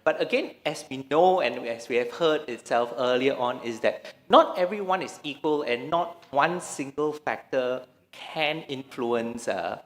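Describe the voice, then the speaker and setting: A man from Malaysia gives a talk on stage at a medical conference. His voice sits at a middle pitch and trails with a long echo through a large hall. He speaks haltingly, often restarting his sentences. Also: expressive, a bit bright. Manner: hesitant, many restarts and fillers. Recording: reverberant lecture hall.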